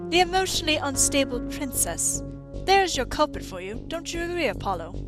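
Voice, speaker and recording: A woman's voice: high voice, very animated, brisk, music in the background. A woman playing a haughty, sardonic robotics scientist who taunts slyly in a video game fandub. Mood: mocking.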